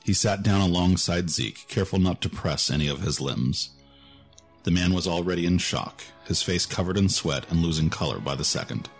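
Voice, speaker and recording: A man's voice: low-pitched, moderate pace, slightly rough. A man narrating a scripted fantasy story as the narrator of an audio drama. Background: music.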